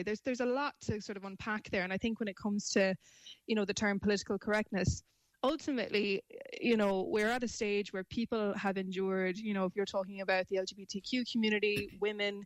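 A woman's voice: mid-range voice, no echo, rough recording. A woman speaking as a panel guest, articulate and reflective, often framing her points as questions. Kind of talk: discussion, opinion.

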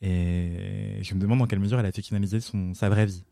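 A man co-hosts a relaxed podcast, talking rapidly in a lowish voice that is recorded close and dry. He sounds eager as he explains things, and he trips over fillers and restarts as he goes. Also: vocal fry, dry recording. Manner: quick and enthusiastic, stumbling over fillers. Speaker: podcast co-host. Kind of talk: casual chat.

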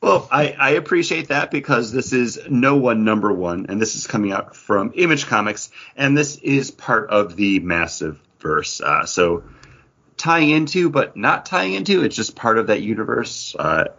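A man's voice: medium pitch, big pitch swings, moderate pace, vocal fry, sharp tone, slightly rough. A man co-hosting a podcast, chatting casually about comics, rambling with lots of fillers and restarts. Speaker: podcast co-host. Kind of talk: casual comic review chat. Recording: dull lo-fi podcast audio.

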